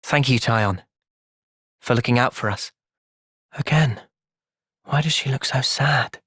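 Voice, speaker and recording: A young man playing a thoughtful, earnest young soldier in video game cutscenes, speaking in a gentle, reflective manner. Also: mid-range voice, measured pace, breathy edge, dry recording, clean studio sound, exaggerated voice. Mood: calm, reflective.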